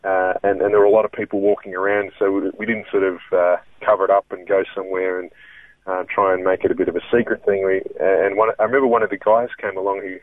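A man's voice: low voice, flat delivery, dry recording. An Australian man from Melbourne, a comedian and impressionist, speaking earnestly in a casual interview, often restarting his sentences. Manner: earnest, halting, many restarts. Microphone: phone. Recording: tinny dry phone line.

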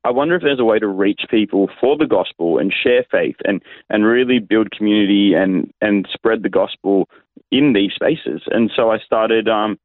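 A man's voice: lowish voice, normal speed, no room sound. An Australian man, a pastor being interviewed over a remote line, telling his own story in casual conversation. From Sydney, Australia. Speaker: interview guest, youth pastor. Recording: tinny narrow phone-like line.

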